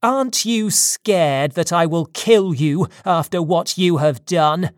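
A narrator voicing an anguished, furious man in an audiobook story, with loud, emphatic, dramatic delivery. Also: medium pitch, takes it slowly, no echo, studio quality, close to an everyday voice. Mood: outraged. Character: anguished, furious man confronting his own creation.

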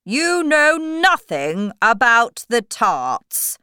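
A woman's voice: a little high, big pitch swings, very slow, bright, forceful tone, smooth, no room sound, clean studio sound, voice pushed up, heavily acted. A woman voicing a pompous, stern king who commands and questions in a storybook. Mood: questioning.

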